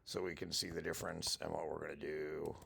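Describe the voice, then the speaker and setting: A man with a gravelly, husky voice, casually walking through a software demo and thinking aloud as he goes. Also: extremely low pitch, level tone, fairly quick, a little hiss or hum. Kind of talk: casual screen-share product walkthrough.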